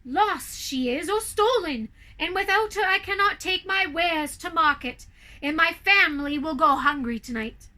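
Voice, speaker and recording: Humble elderly villager, acted by a woman: plaintive, rustic, high, slow storybook delivery. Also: sharp tone, exaggerated voice. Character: humble old peasant man pleading for help.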